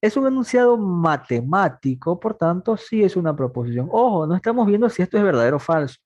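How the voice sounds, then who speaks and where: A man's voice: sits high, big pitch swings, a little fast, a little mellow, no room sound, highs cut off. Young male teacher quizzing his class. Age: 20s. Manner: patient, asks students questions and answers them himself; repeats points, talks plainly to students. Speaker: math teacher. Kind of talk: online class lecture.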